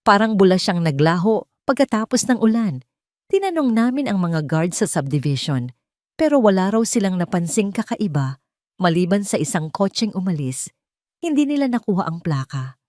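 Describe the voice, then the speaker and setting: A woman's voice: medium pitch, very animated, moderate pace, darkish tone, dry recording, studio quality, pitched above the performer's own voice, natural voice. A woman voicing a deferential male henchman reporting obediently to his boss in an audio drama. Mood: dutiful.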